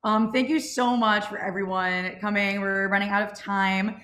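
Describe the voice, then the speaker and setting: A woman's voice: medium pitch, little pitch movement, normal speed, a bit bright. A young woman casually hosting a Q&A on a group video call. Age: young adult. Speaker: Q&A host of an audio drama club. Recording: roomy, echoing video call audio.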